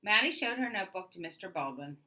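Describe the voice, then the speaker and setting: A woman reading a children's story aloud as an expressive narrator. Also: medium pitch, fast. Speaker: storybook reader.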